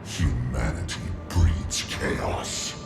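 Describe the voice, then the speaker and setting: An extremely deep, slow, gravelly villain. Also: male voice, animated, full character voice. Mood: cold, menacing. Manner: slow, menacing, pronouncing. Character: cold villainous being preaching order over human chaos. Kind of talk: cinematic video game acting. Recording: echoing voice under loud music.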